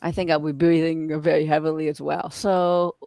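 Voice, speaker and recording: A woman's voice: deep voice, level tone, moderate pace, vocal fry, warm tone, dry recording. A woman hosts a podcast interview in English, her second language. She talks in an encouraging, conversational way. The sound is dry and close. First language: not English. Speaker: podcast host. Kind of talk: relaxed interview chat.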